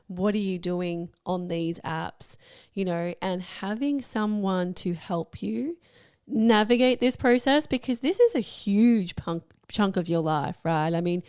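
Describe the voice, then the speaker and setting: Slightly croaky woman podcast host. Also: mid-range voice, a little mellow, no room sound, clean studio sound. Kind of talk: chatty podcast interview.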